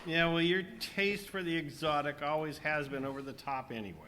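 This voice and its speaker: A man's voice: fairly high pitch, brisk, sharp tone. A man playing a grumbling, sarcastic castaway in an amateur radio comedy skit. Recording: faint room noise and ambience.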